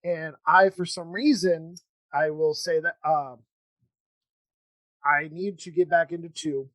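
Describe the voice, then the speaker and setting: A man hosting a casual interview chat, talking in a halting, rambling way with false starts. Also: on the high side, fairly even intonation, fairly bright tone, no echo. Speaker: podcast host.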